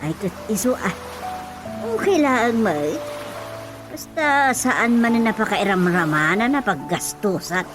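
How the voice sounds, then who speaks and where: A woman's voice: mid-range voice, expressive, a little slow, over music, close to an everyday voice. A woman acting a skeptical, scolding housewife in an Ilocano comedy radio drama.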